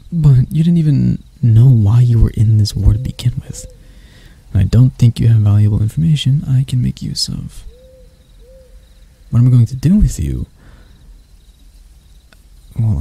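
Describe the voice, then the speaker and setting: A man playing a proud elf prince in a roleplay ASMR, speaking in a measured, haughty and intimate way to the listener. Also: medium pitch, expressive, gravelly, warm tone, slightly rough, exaggerated voice. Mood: menacing, scornful. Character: proud elf prince, stern captor softening into bashfulness.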